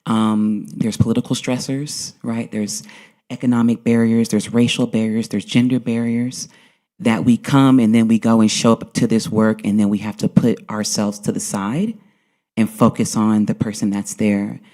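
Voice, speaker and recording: A man's voice: lowish voice, level tone, normal speed, dark tone. A man speaking earnestly as a panelist at a conference, often checking in with "right?". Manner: earnest, frequent "right?" tags. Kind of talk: panel discussion. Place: conference session.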